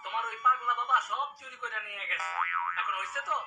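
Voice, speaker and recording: A man's voice: extremely high pitch, quick pace, vocal fry, roomy sound, distant mic, heavy background noise. A man voicing a skeptical, exasperated husband and father in a cartoon, sarcastic and complaining. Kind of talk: cartoon character dialogue.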